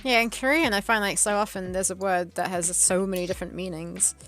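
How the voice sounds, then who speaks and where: A woman's voice: mid-range voice, a little fast, soft background music. A woman chatting and giggling on a live stream, greeting viewers and reading out their questions. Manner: giggly, animated. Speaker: co-host reading chat. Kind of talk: casual chat on a live stream.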